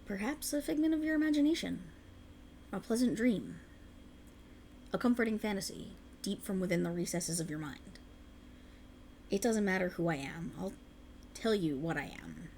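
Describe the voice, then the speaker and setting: A woman's voice: fairly low pitch, a little mellow, slight background noise, close to an everyday voice. A woman voicing an ancient, alluring faerie in a scripted ASMR roleplay, soothing and coaxing with formal archaic phrasing, tender but sinisterly possessive. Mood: mysterious, coy.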